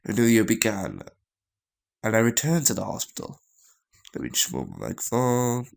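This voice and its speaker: A man reading a visual novel story aloud as a gaming host, in a halting way with stumbles and repeated phrases. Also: fairly low pitch, very animated, vocal fry.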